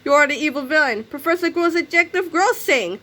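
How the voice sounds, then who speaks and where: Woman putting on a bossy menacing cartoonish villain, high sharp voice, boastful. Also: lively intonation, fairly quick. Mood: accusing. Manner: commanding, boastful. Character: menacing, boastful comic-book villain out for control.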